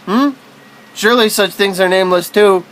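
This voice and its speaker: A man's voice: high voice, moderate pace, a bit bright, low-quality recording. A man reading literary prose aloud as spoken word, in a musing, philosophical manner.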